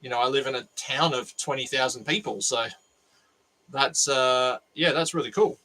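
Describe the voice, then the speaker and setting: A man's voice: mid-range voice, moderate pace, pushed and bright, low-quality recording. A nasal-voiced Australian man hosting a casual livestream from his workshop, thinking aloud and rambling with restarts. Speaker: maker livestream host. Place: home workshop. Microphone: cheap USB microphone.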